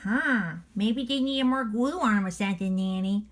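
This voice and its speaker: A woman's voice: mid-range voice, natural voice. A woman voicing an innocent, curious, tender-hearted stuffed toy dog for a children's storybook. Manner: childlike, slow, questioning interjections. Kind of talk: children's storybook read-along character voice.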